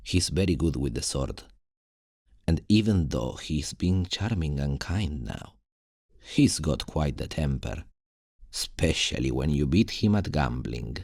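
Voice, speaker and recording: A man playing a confident, cheeky thief recruiter in a fantasy ASMR roleplay, gravelly and husky, speaking in an unhurried, playful, expressive way. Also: rumbling low, dry recording, studio quality, exaggerated voice. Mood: teasing introduction. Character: confident, cheeky male thief recruiter showing a newcomer around.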